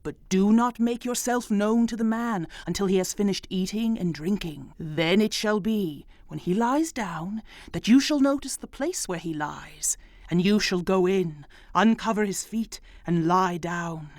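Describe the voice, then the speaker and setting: Casting: a woman playing a wise widowed mother-in-law, gentle and advising, mid-range voice, dry recording. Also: mid-range voice, a little slow, no echo, lightly acted. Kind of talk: dramatized audio Bible reading.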